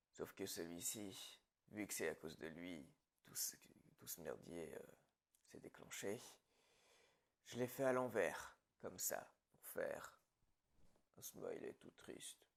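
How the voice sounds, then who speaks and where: Man playing a slow, gravelly, menacing psychopath. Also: mid-range voice. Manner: unhurried, hushed, dropping into whispers, darkly casual. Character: calm, menacing male psychopathic killer, falsely reassuring his captive. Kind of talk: ASMR roleplay monologue.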